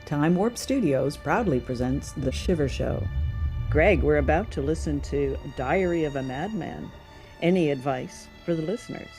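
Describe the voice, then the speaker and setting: A woman's voice: low-pitched, lively intonation, normal speed, dark tone, soft background music, poor audio. A woman presenting a show with a scripted introduction.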